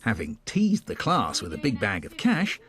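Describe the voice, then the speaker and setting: A man's voice: lowish voice, huge pitch swings, normal speed, a bit bright. A man presenting a magazine programme, reading scripted narration. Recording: faint music bed behind. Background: faint music.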